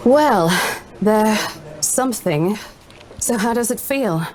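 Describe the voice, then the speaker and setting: A woman's voice: medium pitch, lively intonation, slow pace, a little mellow, faint music behind. A woman playing a confident, teasing female estate agent and mentor in a visual novel, breezy and playful.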